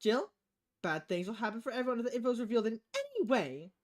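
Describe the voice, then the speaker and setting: A woman's voice: fairly high pitch, sing-song, fast talker, darkish tone. A woman voicing a playful, cagey female bar boss in a visual novel, evasive and mock-formal.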